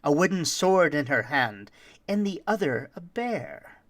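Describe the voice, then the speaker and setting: A performer as a roguish boastful thief, theatrical rhymed storybook tale, swooping pitch, unhurried. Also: very animated, a bit bright. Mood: sly, wistful. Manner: dramatic, deliberate delivery.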